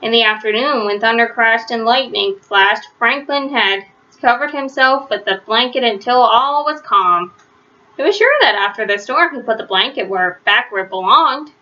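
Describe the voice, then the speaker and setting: Female storyteller for children's picture book: expressive, bright, high voice, slightly dull home recording. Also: moderate pace. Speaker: read-aloud storyteller.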